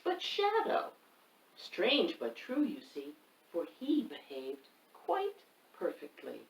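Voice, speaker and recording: A woman reading a rhyming picture book aloud, with a lively, sing-song delivery and asides to the listener. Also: fairly low pitch, takes it slowly, creaky, a little hiss or hum. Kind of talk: expressive read-aloud storytime. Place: home room. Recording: roomy echo, mic at a distance.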